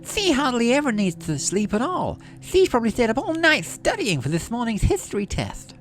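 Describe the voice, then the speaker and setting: A man's voice: very high voice, huge pitch swings, moderate pace, fairly bright tone, soft background music, pitched above the performer's own voice, exaggerated voice. A man voicing a blunt, chatty teenage colonist girl from a video game, with slangy, offhand talk. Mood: envious. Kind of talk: video game dialogue read aloud.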